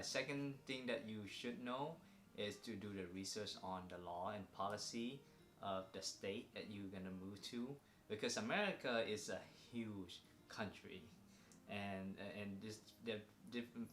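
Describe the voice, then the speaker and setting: A young man from Vietnam with a Vietnamese accent, co-hosting a casual advice vlog, talking haltingly with many fillers and restarts. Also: lowish voice, fairly bright tone, roomy sound. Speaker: vlog co-host.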